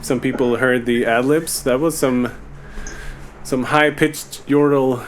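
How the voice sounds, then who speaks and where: A man hosting a casual group Q&A, speaking in a halting, measured way. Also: mid-range voice, fairly even intonation. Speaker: Q&A host reading fan questions, music video maker. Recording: noisy, sub-par online group chat audio.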